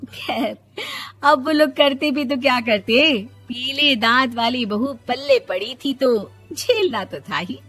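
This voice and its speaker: A woman's voice: high-pitched, takes it slowly, fairly bright tone. A woman reading scripted narration for a domestic moral story as its storyteller. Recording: music under the voice.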